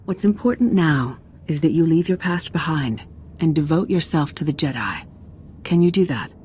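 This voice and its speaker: A woman's voice: low voice, lively intonation, moderate pace, a little mellow, a little hiss or hum. A woman acting a composed, fair-minded Jedi grandmaster in a video game, speaking formally and with authority.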